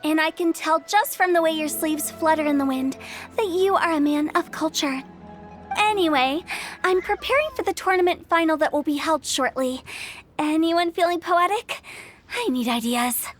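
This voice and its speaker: High-voiced, mischievous young funeral director. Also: female voice, moderate pace. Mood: chatty. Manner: theatrical, teasing. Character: playful, theatrical young female funeral parlor director. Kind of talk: video game voice acting. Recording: faint music behind the voice.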